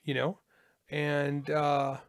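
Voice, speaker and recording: A man's voice: on the high side, completely flat delivery, extremely slow. A man from California co-hosting a podcast, telling stories with wry, exaggerated jokes. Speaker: podcast co-host. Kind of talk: casual podcast chat.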